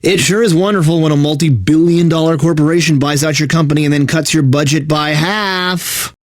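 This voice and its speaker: A man's voice: fairly high pitch, fairly even intonation, a little fast, dry recording, clean studio sound, close to an everyday voice. A man playing a bitter, burnt-out corporate radio DJ in a video game, mixing announcer patter with sarcastic, exasperated asides. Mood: weary, sardonic. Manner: exaggerated announcer delivery with sardonic, exasperated asides.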